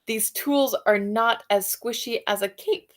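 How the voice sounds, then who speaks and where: A woman reading a picture book aloud to children, expressive, with soft musing questions for young listeners. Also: fairly high pitch, a little slow. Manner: expressive reading, alternating with softer musing asides and hums. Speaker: read-aloud storyteller for children.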